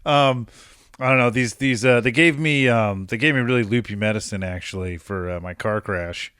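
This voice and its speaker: A man's voice: fairly low pitch, normal speed, fairly bright tone, smooth, dry recording, studio quality. A man hosting a podcast, introducing segments in a loose, joking, mumbly way. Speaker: podcast host.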